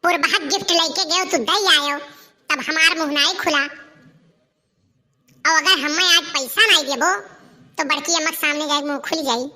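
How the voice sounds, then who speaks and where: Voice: high-pitched, normal speed, long pauses, bright, forceful tone. A performer voicing a cheeky, scheming village boy in a comic cartoon, whining and insistent, with an Awadhi accent. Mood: cheeky, threatening. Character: cheeky scheming village lad, lovestruck, blackmailing his uncle.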